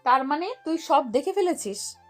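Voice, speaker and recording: A woman's voice: sits high, animated, normal speed, soft background music. A woman playing a stern, teasing young tutor who acts like a bossy older sister in an audio romance story. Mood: bossy, exasperated.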